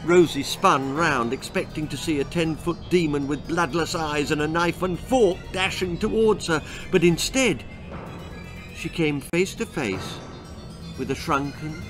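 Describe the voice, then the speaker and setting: A man reading his own spooky, comic children's story aloud in a theatrical, suspenseful way. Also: fairly high pitch. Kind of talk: dramatic children's horror-comedy storytelling.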